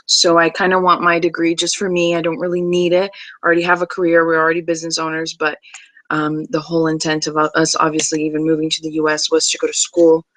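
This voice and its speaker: A woman's voice: deep voice, completely flat delivery, fast talker, fairly bright tone, no room sound, poor audio. A woman in her thirties, originally from Brazil, chatting casually as she introduces herself to a class, with many ums and restarts. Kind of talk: casual self-introduction video.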